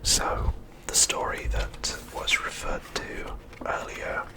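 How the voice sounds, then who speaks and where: A man narrating an episode recap as an ASMR host in a hushed, breathy, unvoiced whisper, with deliberate delivery.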